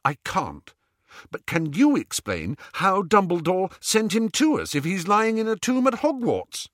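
A man's voice: sits high, huge pitch swings, a little slow, fairly bright tone, no echo, clean studio sound, pitched above the performer's own voice, exaggerated voice. A man voicing an earnest, articulate teenage witch girl who reasons things out, in an audiobook. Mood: challenging. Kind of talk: audiobook character voice.

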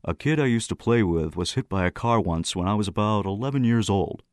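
A man's voice: low voice, lively intonation, moderate pace, no room sound, clean studio sound. A man playing a serious, authoritative teenage schoolboy who leads an inquiry in an audiobook.

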